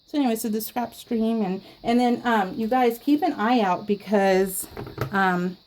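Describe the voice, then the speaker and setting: A woman chatting casually to her viewers while she scrapbooks, giggling often and filling pauses with ums and restarts. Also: fairly high pitch, a little mellow, low-quality recording. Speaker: scrapbooking YouTuber. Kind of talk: casual chat while crafting.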